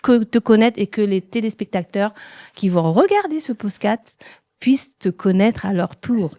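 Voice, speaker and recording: A woman's voice: medium pitch, expressive, moderate pace, warm tone, no room sound, clean studio sound. A woman from Haiti hosting an interview podcast, welcoming and enthusiastic, with plenty of hesitant fillers. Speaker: podcast host.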